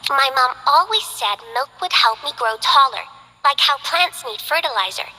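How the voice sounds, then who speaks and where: A woman's voice: high-pitched, expressive, normal speed, in a large hall, heavily acted, poor audio. Shy young botanist girl. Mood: gentle. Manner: soft-spoken, hesitant. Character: gentle young female botanist, sweet and shy. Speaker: game character. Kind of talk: game voice lines.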